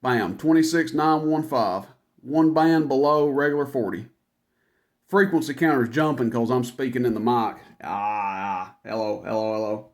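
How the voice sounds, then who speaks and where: A man's voice: mid-range voice, a little slow. A man giving a casual product demonstration as a seller, talking informally and rambling through each step as he goes.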